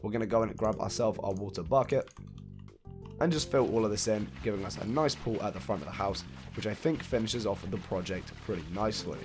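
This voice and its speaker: A man with a fairly low, croaky voice, raspy from a cold, explains a build at an easy, chatty pace. He counts blocks aloud and shrugs off his own mistakes. Soft music plays quietly underneath. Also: fairly quick. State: hoarse, croaky after a cold. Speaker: video game building tutorial host. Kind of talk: casual step-by-step gameplay walkthrough. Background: faint background music.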